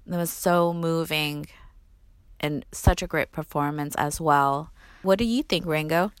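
A woman co-hosting a podcast, introducing recorded clips in a measured way. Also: sits low, clean tone, no room sound. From United States. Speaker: podcast co-host.

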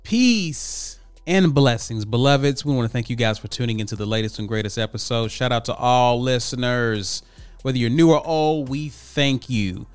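A man's voice: medium pitch, normal speed, clear, resonant tone, no room sound. A man hosting a podcast, opening with upbeat greetings and chatting casually. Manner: animated, upbeat host greetings. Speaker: podcast host. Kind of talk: casual chat.

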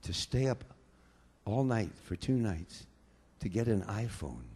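A man from the United States preaching a sermon, swinging between quiet talk and loud bursts of fervor with repeated hallelujahs. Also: lowish voice, expressive, unhurried, breathy edge, long reverb tail. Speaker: pastor.

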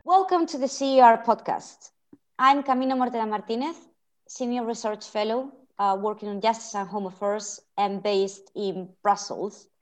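A woman's voice: fairly high pitch, normal speed. A woman with a Spanish accent hosting a policy podcast, speaking in a friendly way with hesitant restarts. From Spain. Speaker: podcast host, research fellow. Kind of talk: podcast interview.

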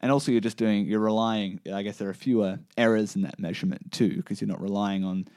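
Male podcast co-host, mellow lowish voice, dry close sound, thinks out loud with restarts. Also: steady tone, quick pace, smooth, no room sound. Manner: thoughtful, restarts sentences while reasoning aloud. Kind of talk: casual science chat.

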